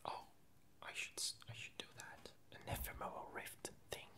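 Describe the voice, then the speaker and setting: An ASMR creator chatting casually in a breathy, unvoiced whisper, in a halting, rambling way with frequent self-corrections.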